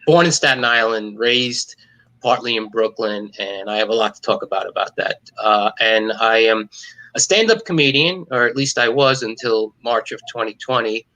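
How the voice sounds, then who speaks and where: A man's voice: medium pitch, normal speed, poor audio. A middle-aged man from New York, a stand-up comedian, joking dryly and cynically in a casual interview over a video call. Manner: wisecracking, cynical, deadpan jokes.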